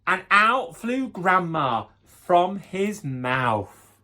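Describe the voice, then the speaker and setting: A man tells a children's story with a very lively, varied pitch. His delivery is playful and dramatic, and he breaks off to speak straight to his young listeners. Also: drawn-out words. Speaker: guest story reader.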